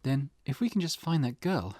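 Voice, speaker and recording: A man voicing a grieving, haunted mother with quiet resolve, speaking in a hushed, hesitant manner in a visual novel. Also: mid-range voice, sing-song, moderate pace, husky, no room sound, clean studio sound, voice pushed up. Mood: hushed, shaken. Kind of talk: character voice reading visual novel dialogue.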